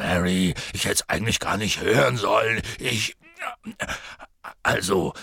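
A man voicing a huge, gruff, anxious half-giant groundskeeper in an audiobook, rambling nervously and clipping his words. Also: low-pitched, sharp tone, no echo, clean studio sound, heavily acted. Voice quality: gravelly, husky. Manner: rambling, nervous, drops word endings. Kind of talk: audiobook character voice.

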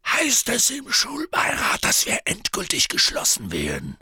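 Gruff half-giant, husky rasp, slow rumbling delivery, dry close sound. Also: male voice, wildly swooping pitch, bright, forceful tone, no room sound, full character voice. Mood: worried. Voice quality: gravelly, husky. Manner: rambling, nervous, drops word endings. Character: huge gruff male half-giant groundskeeper, anxious. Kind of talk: audiobook character voice.

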